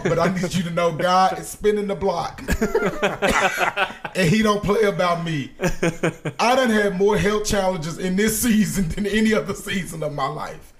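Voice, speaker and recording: A hoarse young man hosting a casual podcast chat, telling stories with lots of laughter. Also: high-pitched, noisy background. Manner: animated storytelling, laughing often. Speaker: podcast host.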